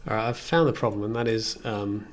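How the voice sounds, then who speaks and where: A man in his thirties casually thinking aloud on a live tutorial stream in a halting, musing way. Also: fairly low pitch. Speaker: live streamer demonstrating synthesizer software. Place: indoor room at a computer desk. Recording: faint background noise.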